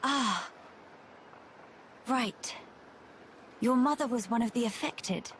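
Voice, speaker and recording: A woman's voice: fairly high pitch, slow, fairly bright tone, slight background noise. A woman playing a poised, regal young warrior in a video game, formal and composed. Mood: concerned, questioning. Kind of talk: video game cutscene acting.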